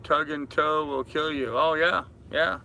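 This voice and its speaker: Older man with a very bright, forceful voice. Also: on the high side, fairly even intonation, measured pace, vocal fry, a little hiss or hum, rough recording. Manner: rambling, addresses viewers familiarly as brother and man. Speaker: live-stream host. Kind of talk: casual live chat, reading and answering viewer comments. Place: aboard a boat at anchor.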